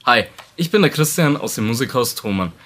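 A man's voice: mid-range voice, expressive, fairly quick, a bit bright. A young man in his twenties who works in a studio department speaks to camera. His delivery is plain and matter-of-fact. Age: 20s. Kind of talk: testimonial to camera.

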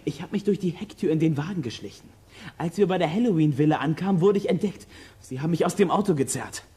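A performer playing a bold, practical boy detective with a brisk, decisive manner in a children's audio drama. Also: medium pitch, expressive, mellow, slightly rough. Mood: earnest.

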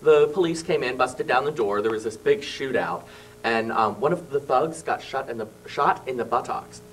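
A young man talking as a guest on a small local TV studio show, halting with many fillers and small laughs, into a handheld mic. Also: mid-range voice, distant mic, a little hiss or hum, rough recording. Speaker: cast member guest. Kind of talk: casual studio chat. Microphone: handheld mic held by host.

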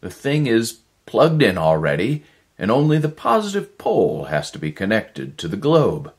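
A man plays a driven scientist in a sci-fi audio story. His voice is pushed up high and loud, forceful and exclamatory, with urgent desperation. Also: wildly swooping pitch, moderate pace.

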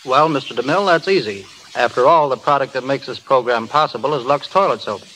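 A man's voice: medium pitch, a little fast, fairly bright tone. A man speaking formally as an editor guest in a scripted 1930s radio interview. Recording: noisy old 1930s radio broadcast.

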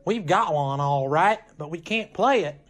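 A man's voice: on the high side, lively intonation, a little slow, a bit bright. An actor playing an easygoing but stubborn male college student in an old-time radio comedy. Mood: earnest.

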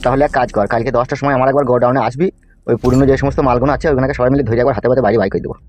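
Man acting a cartoon gangster boss, very rapid slangy speech, bossy, scheming, mock-sweet. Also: medium pitch, steady tone, a little mellow, a little hiss or hum, natural voice. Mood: falsely kind. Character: scheming, foul-mouthed male gangster politician, big-brother boss.

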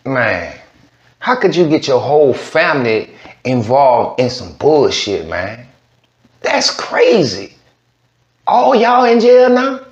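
Male reaction host, slangy and unhurried, swooping pitch, dull echoey mic. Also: big pitch swings, slight background noise. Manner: drawling, incredulous, slangy. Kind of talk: casual reaction commentary. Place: virtual news studio set. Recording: lo-fi, roomy sound.

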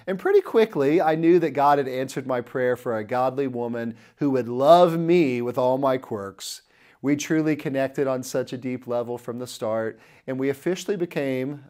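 A man in his thirties delivers a sermon straight to camera with a middle-pitched voice, earnestly telling a personal story and gesturing as he speaks. He is recorded on a lapel mic in an indoor studio. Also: a little mellow. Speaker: preacher.

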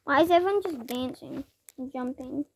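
A woman's voice: high voice, moderate pace, a little hiss or hum, poor audio. A young girl playing a video game with her sibling, teasing and bossy, giggling often. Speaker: gamer. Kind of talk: casual gameplay chat.

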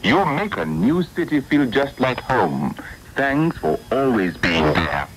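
A man's voice: medium pitch, sing-song, takes it slowly, crowd noise. A man reading listeners' letters aloud on a radio programme, captured from a noisy 1980s shortwave broadcast. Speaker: letter reader.

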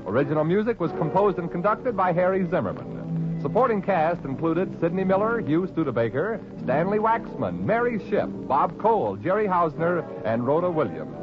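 High, animated American radio announcer, a man. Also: normal speed. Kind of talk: 1940s radio announcing, scripted. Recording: muffled 1940s radio recording, loud music. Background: loud music.

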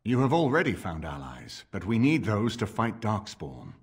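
Deep, husky older nobleman. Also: wildly swooping pitch, heavily acted. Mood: grave, earnest. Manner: formal, measured, commanding. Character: grave, dignified older bearded nobleman lord. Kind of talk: video game dramatic acting.

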